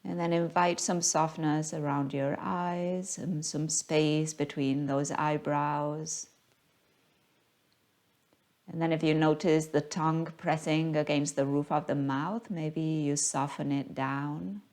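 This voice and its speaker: A woman guiding a yoga class as the teacher, speaking in a gentle, unhurried, soothing manner. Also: low-pitched, fairly even intonation. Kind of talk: guided yoga class instruction.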